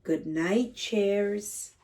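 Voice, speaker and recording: A woman reading a picture book aloud to young children as a teacher, speaking to them directly in simple, gentle phrases. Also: medium pitch, extremely slow. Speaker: kindergarten read-aloud teacher and host. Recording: slightly distant microphone.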